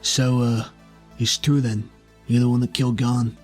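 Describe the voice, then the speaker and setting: A man voicing a proud, bitter armoured villain in a tabletop game, taunting and threatening, with a slightly husky voice. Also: mid-range voice, little pitch movement, normal speed, a little mellow, natural voice. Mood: accusing, taunting. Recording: music behind the voice.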